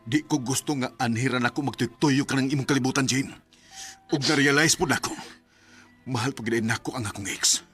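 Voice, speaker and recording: A man with a Filipino Bisaya accent playing a smooth-talking young boyfriend in a radio drama, with a slightly husky voice. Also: medium pitch, brisk, a bit bright, soft background music. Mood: defensive, firm.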